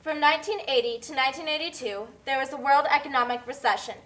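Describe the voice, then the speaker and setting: A woman's voice: sits high, quick pace, fairly bright tone, distant mic, low-quality recording. A woman narrating an educational puppet show from a script.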